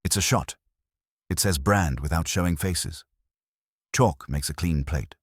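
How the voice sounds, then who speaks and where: A man voicing a brisk, unapologetic woman camera operator, clipped and matter-of-fact, in a mystery story. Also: sits low, slightly rough, no room sound, studio quality.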